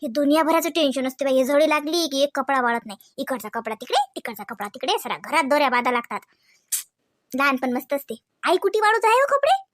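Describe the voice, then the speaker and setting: A woman plays a dreamy, chatty young housewife from a comedy cartoon. Her voice sits very high and girlish, with a Varhadi Marathi accent from Vidarbha. She is giggly and theatrical, in a close, dry sound. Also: no echo.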